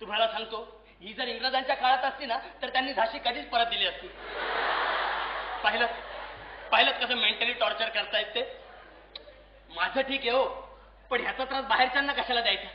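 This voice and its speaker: A man acting a put-upon, exasperated father in a comic stage play, pleading loudly and theatrically with his listeners. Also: very high voice, a little fast, long pauses, slight background noise. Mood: aggrieved complaining. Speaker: stage actor and narrator. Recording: echoey hall, muffled sound, faint music.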